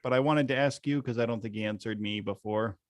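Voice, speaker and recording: A man's voice: mid-range voice, quick pace, a loud crowd. A man asking casual questions in a group chat, a bit hesitantly.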